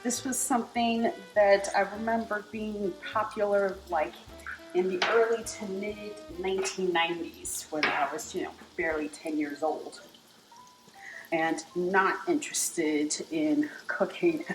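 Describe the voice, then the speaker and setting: Casting: American woman, 40s, casual cooking host, slow halting delivery, roomy echo, music behind. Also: mid-range voice, fairly even intonation. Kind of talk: casual home cooking demonstration. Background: music, sizzling food and stirring.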